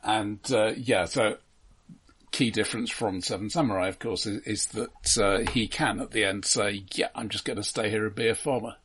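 A man co-hosting a casual film discussion, explaining haltingly and often repeating words as he goes. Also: lowish voice, fairly even intonation, a bit bright, slightly rough. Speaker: film podcast host.

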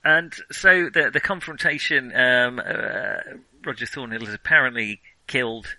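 A man's voice: mid-range voice, unhurried, pushed and bright, dry recording, low-quality recording. A man co-hosting a casual film discussion, talking in a hesitant, discursive way. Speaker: podcast co-host.

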